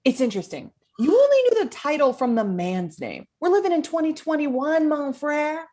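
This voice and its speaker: A woman's voice: sits high, big pitch swings, moderate pace, warm tone. Woman acting a brash, shady dealer with swooping intonation. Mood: cocky, teasing. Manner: cocky, wisecracking. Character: shady, brash woman selling poison and racing coyotes. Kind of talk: comedy pilot table read.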